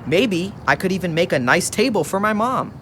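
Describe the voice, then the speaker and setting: Man playing an eager, high-pitched young boy. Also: a little hiss or hum. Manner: earnest, halting when excited. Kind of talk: church puppet show dialogue.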